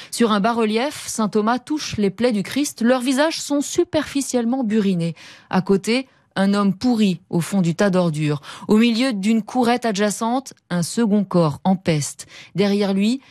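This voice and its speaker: Female radio presenter, middle-aged. Scripted press-review read, mid-pitched, dry studio sound. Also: darkish tone, no echo. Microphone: studio desk microphone, close.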